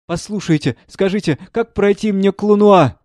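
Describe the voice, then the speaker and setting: Guy putting on desperate crazed girl in a play, way high, loud and insistent. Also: normal speed, dry recording, studio quality, natural voice. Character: crazed, frightened young woman, lost and desperate.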